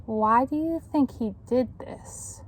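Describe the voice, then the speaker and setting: A woman tutor reading a children's book aloud in an instructive way, pausing to put questions to young listeners. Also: fairly high pitch, a little hiss or hum.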